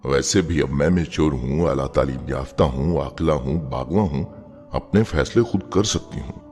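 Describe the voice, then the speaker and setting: A man's voice: very deep voice, moderate pace, darkish tone, pitched below the performer's own voice. A man voicing an emotional, educated young woman in love, in a dramatic moral story. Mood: defiant, self-justifying. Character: educated young woman, lovestruck, torn by guilt. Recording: long reverb, music bed behind.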